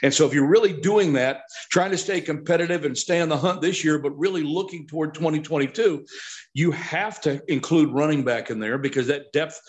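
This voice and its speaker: A man's voice: fairly high pitch, fairly quick. A middle-aged man talking as a sports pundit on a podcast, emphatic and opinionated, often posing rhetorical questions.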